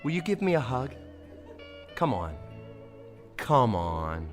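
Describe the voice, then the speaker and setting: A man playing a gentle, childlike, euphoric dying saint in a comic audio play, wondering and tender. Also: medium pitch, sing-song, slow, faint music behind. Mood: fading, tender.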